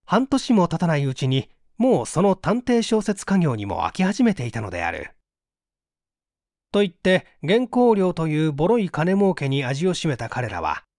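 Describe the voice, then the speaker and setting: A man reading literary fiction aloud as a narrator, in a theatrical, deliberate storytelling manner. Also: on the high side, no echo, clean studio sound. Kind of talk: dramatic literary audiobook reading.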